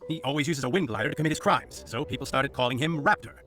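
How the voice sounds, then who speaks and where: A man's voice: mid-range voice, fast talker, music in the background, lightly acted. A man voicing an earnest, officious young knight lawman with a formal, dutiful manner in a video game. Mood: earnest, explaining. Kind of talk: anime-style video game voice acting.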